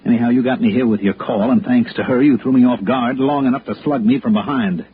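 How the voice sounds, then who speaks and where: A man's voice: mid-range voice, fast, a little hiss or hum, lightly acted. A man acting as a tough, sardonic American investigator in a 1960s radio noir, clipped and wisecracking. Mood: accusing. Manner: clipped, wisecracking, hard-boiled. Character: tough, sardonic male freelance insurance investigator. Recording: dull 1960s radio recording with music.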